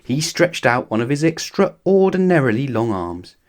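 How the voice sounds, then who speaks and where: Animated male children's story narrator. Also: mid-range voice, moderate pace. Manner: expressive, addressing young listeners.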